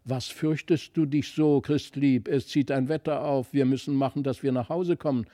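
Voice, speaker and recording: A man voicing a brave, excitable young boy with urgency, in a fairy-tale reading. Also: medium pitch, normal speed, no room sound, clean studio sound, lightly acted. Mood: urgent reassurance.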